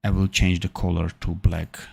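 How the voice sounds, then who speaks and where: Slow, gravelly low-voiced man thinking aloud. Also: completely flat delivery, a little mellow. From Armenia. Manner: thinking aloud, halting with fillers and self-corrections. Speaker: animation tutor. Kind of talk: live-streamed software tutorial.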